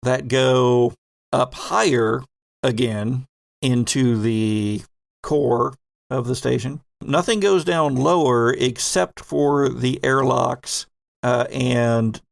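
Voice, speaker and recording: Gravelly-voiced male game master. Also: mid-range voice, no echo, studio quality. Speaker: game master and podcast host. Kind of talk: narrating and questioning in tabletop game.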